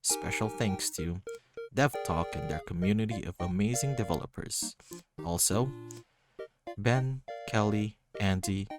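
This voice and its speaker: Low, slow-paced man reading over music. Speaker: streamer reading a game aloud. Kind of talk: scripted read-aloud of game text. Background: background music.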